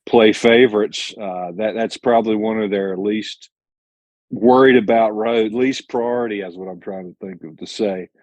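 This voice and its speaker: A man's voice: fairly low pitch, normal speed, a little mellow, dry recording, lo-fi sound. A man who drives his own truck, answering interview questions in a plainspoken, earnest way, with many restarts and self-corrections. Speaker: owner-operator truck driver, interview guest.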